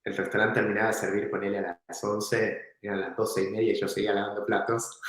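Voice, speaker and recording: A man tells a story from his past in a relaxed, reflective way, laughing now and then. His voice sits slightly low. The room is very echoey and rings around him. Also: fairly quick, a little hiss or hum. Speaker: entrepreneur guest. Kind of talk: casual storytelling in an interview.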